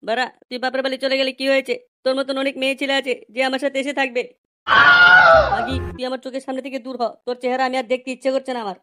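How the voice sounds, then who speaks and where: A woman voicing a crude, bullying, hard-drinking village husband in an amateur comedy cartoon, with a coarse blustering manner and artificially raised pitch. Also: monotone, very fast, faint music behind, lightly acted. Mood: belligerent.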